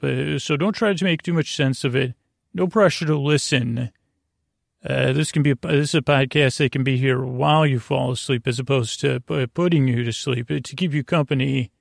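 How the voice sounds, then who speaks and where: Creaky-voiced man, lulling bedtime podcast host. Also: medium pitch, dry recording, clean studio sound. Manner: lulling, meandering, hesitant, halting. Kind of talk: rambling bedtime sleep podcast monologue.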